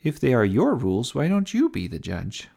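A man's voice: mid-range voice, huge pitch swings, normal speed, creaky, mellow, exaggerated voice. A man voicing a hesitant, good-natured child in a children's storybook, questioning and obliging. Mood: puzzled.

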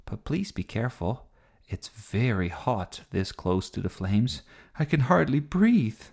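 A man's voice: mid-range voice, very animated, unhurried, vocal fry, darkish tone, slightly rough, exaggerated voice. A man voicing a bossy, dramatic little girl at play in a children's storybook, grandly theatrical in manner. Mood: urgent, brave. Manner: grandly theatrical, mispronouncing big words.

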